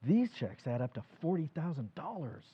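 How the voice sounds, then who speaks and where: Man as an anxious husband, husky, lively pitch, muffled recording. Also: normal speed, exaggerated voice. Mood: agitated. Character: anxious, fretful middle-aged husband. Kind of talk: old-time radio acting.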